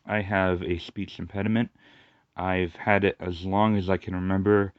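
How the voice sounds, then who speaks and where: A man with a noticeable lateral lisp talks in a low, rambling voice and keeps restarting his sentences. The recording is dry and a bit dull. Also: level tone, smooth, no echo. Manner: rambling, halting, many restarts and fillers. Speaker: YouTuber. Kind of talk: casual personal monologue.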